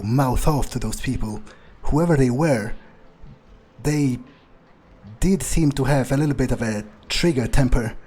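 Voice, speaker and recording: A man improvising in-character commentary on a video game, thinking aloud in a halting, sweary way with a husky, mellow voice. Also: mid-range voice. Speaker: gaming video player.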